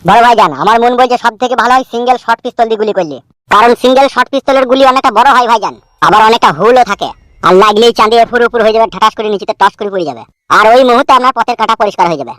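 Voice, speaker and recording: A man's voice: very high-pitched, rapid-fire, pushed and bright, no echo, rough recording. A man voicing an eager, servile gangster henchman in a comedy cartoon, chatty, deferential and conspiratorial. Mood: eager, scheming. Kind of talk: Bengali comedy cartoon dialogue.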